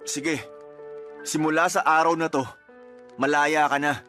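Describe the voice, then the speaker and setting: A man's voice: fairly high pitch, level tone, unhurried, pushed and bright, faint music behind. A man acting as a fed-up, resentful boyfriend in a Tagalog radio romance drama, emphatic and accusing. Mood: cold, resolved. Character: fed-up, resentful boyfriend breaking up with his girlfriend.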